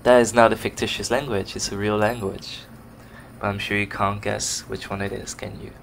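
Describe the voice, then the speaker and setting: A man's voice: lowish voice, level tone, normal speed, creaky, a little hiss or hum. A man with a Dutch accent, rambling casually and searching for words while commentating his own game. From Netherlands. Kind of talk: casual let's play commentary. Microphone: desk microphone recorded on computer. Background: game music.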